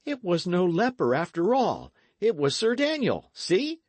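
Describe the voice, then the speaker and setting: An eager young squire with earnest, old-fashioned speech, as a man puts him on. The voice is lifted well above the reader's own pitch and a little loud, recorded dry. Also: wildly swooping pitch, measured pace, no room sound, exaggerated voice.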